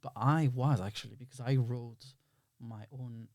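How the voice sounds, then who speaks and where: Guy with as chatting in an interview, average pitch, really dry recording, acts out little quotes. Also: steady tone, measured pace, a little mellow, no room sound. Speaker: interview guest, filmmaker and actor. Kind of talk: casual conversational interview.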